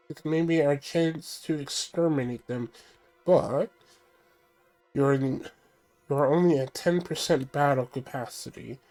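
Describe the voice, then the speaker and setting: A man reading out a stern male ship computer giving mission orders, measured and plain, his voice stuffy from a cold. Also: mid-range voice, darkish tone, close to an everyday voice, low-quality recording. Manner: reads briefing text aloud, measured.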